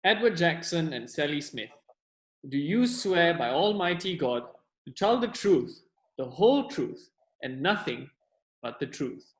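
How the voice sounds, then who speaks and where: A man playing a solemn, formal court clerk who declaims deliberately in a courtroom play. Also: a little high, sing-song, fairly bright tone, heavily acted. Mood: solemn, official. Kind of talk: courtroom stage play acting. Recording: echoey room.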